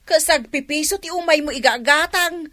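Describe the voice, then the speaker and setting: A woman's voice: high-pitched, normal speed, sharp tone. A woman acting in a radio drama as an eager, knowing neighbour, chatting and gossiping. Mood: gossipy.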